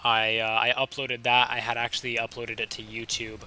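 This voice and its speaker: A young man with a slightly raspy, sick voice, casually commenting over his own gameplay footage with a chatty, laid-back manner. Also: medium pitch, monotone, fairly quick, bright, forceful tone, slight background noise. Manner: casual, laid-back, chatty with frequent fillers and short laughs. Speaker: gaming channel host.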